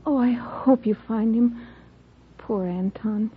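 A woman playing a frail, devoted young dying wife, soft and wistful, in 1950s radio drama style. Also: a little high, takes it slowly, husky, full character voice. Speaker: patient character. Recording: tinny old radio recording with music.

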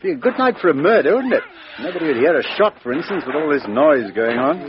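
An actor with an English accent playing a jovial, teasing police inspector in a 1950s radio drama. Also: male voice, on the high side, very animated, quick pace. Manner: bluff, teasing. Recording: muffled old radio recording with hiss.

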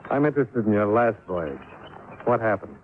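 Noisy tinny old radio, male actor as hard-nosed American investigator, clipped, dry, skeptical, lowish voice. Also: lively intonation, moderate pace, close to an everyday voice. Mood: businesslike, insistent. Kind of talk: 1950s radio detective narration and dialogue.

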